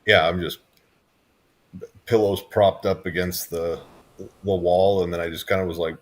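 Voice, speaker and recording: A man co-hosting a podcast, talking in a relaxed, laid-back and rambling way. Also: low voice, a little slow. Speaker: podcast co-host, hypnotist. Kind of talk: casual podcast chat.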